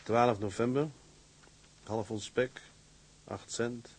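An actor playing a hearty, affectionate young ship's mate in a radio play. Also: male voice, medium pitch, fairly even intonation, takes it slowly, slight background noise, close to an everyday voice, poor audio. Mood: quiet, musing. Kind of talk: dramatised radio play acting.

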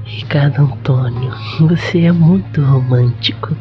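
A woman's voice: very deep voice, a little slow. A woman acting a coy, sentimental young lady in love in a melodramatic radio soap. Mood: coy, tender. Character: Madalena Guadalupe, coy romantic young woman with strict father. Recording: dull lo-fi audio under music.

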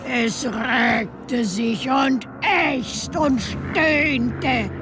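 Radio play narrator: woman, slightly high voice, slow expressive rhymed storytelling, theatrical. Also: music in the background. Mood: dramatic, suspenseful. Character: woman storyteller reciting a rhymed folk legend.

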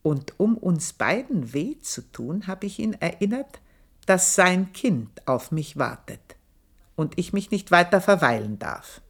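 An old maidservant, proud and bitter, with a fire still burning under every word. She speaks in a low register at a very slow, deliberate pace, sometimes sinking into a hushed whisper. This is an intimate radio play monologue recorded close to the microphone. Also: sing-song, full character voice. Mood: hushed intense. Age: older. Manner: slow, intense, dropping at times to a hushed whisper. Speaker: old maidservant telling her life story.

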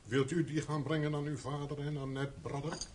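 An actor in a radio play as a formal, deferential manservant in a gentry house. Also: male voice, on the high side, level tone, a little fast, darkish tone, a little hiss or hum, close to an everyday voice.

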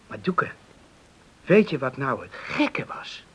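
An actor playing a friendly island beachcomber in a radio play, earnest and sometimes hesitant. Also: male voice, mid-range voice, big pitch swings, slow, breathy edge, exaggerated voice. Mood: earnest, intrigued. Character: friendly male island beachcomber, earnest storyteller, shy suitor.

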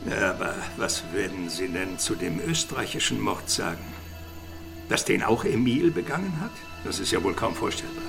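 Crime radio play: a fussy, anxious police commander, creaky-voiced, lively intonation, music underneath. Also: male voice, low voice, normal speed, exaggerated voice. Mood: urgent, worried. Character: worried, meticulous male police commander, a loyal deputy. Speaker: radio drama actor. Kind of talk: German crime radio drama dialogue.

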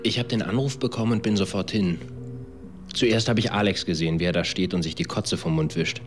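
An actor playing a troubled man recounting events in a radio drama, in a halting, colloquial way. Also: on the low side, over music, lightly acted. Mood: troubled recollection. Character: troubled male swarm organizer recounting events. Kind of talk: confessional radio drama narration.

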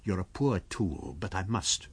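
Man acting low, menacing invisible bully. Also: big pitch swings, unhurried, creaky, husky, no echo, heavily acted. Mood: quiet menace. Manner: commanding, threatening, terse.

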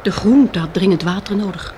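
A woman's voice: medium pitch, normal speed, mellow, close to an everyday voice. Actress as tender woman survivor, faint hiss. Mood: tender, wistful. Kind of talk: Dutch radio play acting. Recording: faintly noisy old radio drama recording.

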